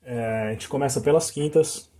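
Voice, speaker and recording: A hoarse man teaching musical intervals, singing note names to demonstrate them and checking in often with short questions. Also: medium pitch, normal speed, dark tone. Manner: sings interval notes to show them. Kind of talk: sung and spoken music lesson.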